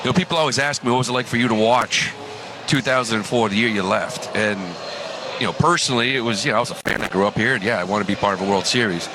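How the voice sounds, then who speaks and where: A man, a former player turned broadcaster, speaking in a halting, reflective way with many fillers. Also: lowish voice. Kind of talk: emotional on-air remembrance. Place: ballpark broadcast booth. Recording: broadcast booth with clear ballpark noise.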